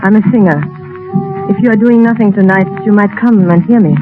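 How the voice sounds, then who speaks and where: A woman's voice: medium pitch, brisk, lightly acted. An actress playing a cool, independent woman cabaret singer in 1930s radio drama. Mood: aloof. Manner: aloof, terse, polite. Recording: tinny 1930s radio recording with music.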